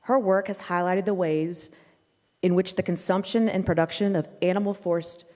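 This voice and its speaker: A woman formally introducing a guest speaker, reading in a measured way from a prepared text. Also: lowish voice, steady tone, mellow. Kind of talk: read-aloud formal biography introduction. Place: church sanctuary, indoors. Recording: echoey hall with long reverb.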